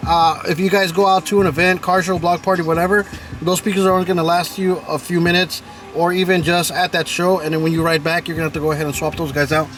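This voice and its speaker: Guy chatting about his truck speakers, kinda high voice, loud music going in the truck. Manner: casual and chatty, lots of "guys" and "man". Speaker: car audio vlogger. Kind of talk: casual how-to talk to camera. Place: inside a truck with the stereo playing. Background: car stereo music playing.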